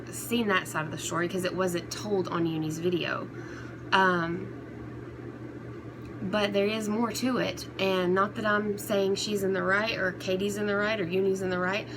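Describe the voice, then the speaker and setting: A woman talking casually and unscripted to her viewers as an online commentary host, in a halting, rambling, conversational way. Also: mid-range voice. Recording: clear background noise throughout.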